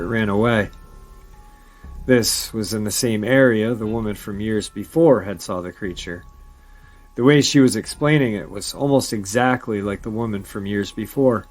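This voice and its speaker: A man with a somewhat low voice reads viewer-submitted encounter stories for his paranormal channel. He keeps a steady storytelling pace and drops in brief casual asides between the tales.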